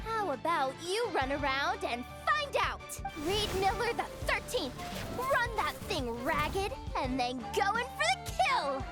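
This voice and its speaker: An earnest young anime game heroine with a very high voice. Her delivery is expressive and swoops in pitch, yet unhurried. She sounds kind and determined, with music behind her. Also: exaggerated voice. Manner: expressive, exclamatory. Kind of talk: anime video game voice acting.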